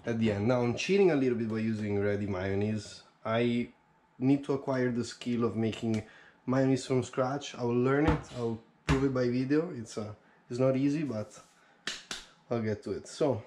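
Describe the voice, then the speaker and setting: A man's voice: medium pitch, normal speed. A young man chatting casually as he cooks in his home kitchen, thinking aloud with fillers. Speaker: home cook vlogger. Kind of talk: casual cooking commentary. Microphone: head-mounted action camera. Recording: action camera audio with clear background noise.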